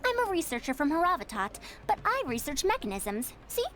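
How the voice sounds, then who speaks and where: Theatrical woman scholar, proud, patient teacher, lively swooping pitch, anime game style, music behind. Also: fairly quick. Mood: patient, lecturing. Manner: lecturing, self-important, animated.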